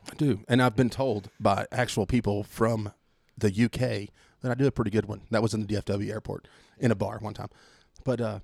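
Texan podcast host, jokey and rambling, dry close sound. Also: male voice, lowish voice, steady tone, a little fast, vocal fry, hardly any pauses, darkish tone, no echo. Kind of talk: casual chat. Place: home garage.

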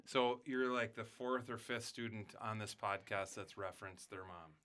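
Bright-voiced upbeat male principal host. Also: fairly low pitch, flat delivery, moderate pace. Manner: upbeat, encouraging, reflective. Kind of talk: casual school podcast chat.

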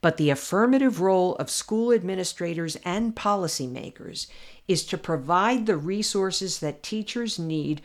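A woman commentator reading her own essay aloud in a measured, deliberate way with emphatic pauses. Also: fairly low pitch. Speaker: podcast commentator.

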